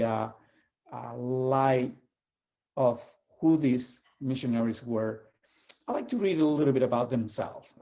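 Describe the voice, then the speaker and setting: A man from El Salvador, giving an earnest, measured reflection and reading aloud with pauses. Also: mid-range voice, dark tone, low-quality recording. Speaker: community organizer sharing a reflection.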